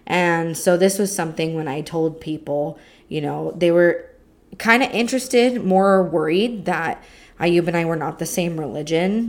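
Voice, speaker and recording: A young woman from the United States carries a casual podcast chat, talking freely and packing her speech with fillers. Her voice sits low for a woman. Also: a little mellow. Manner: chatty, talkative, with many fillers. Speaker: podcast co-host.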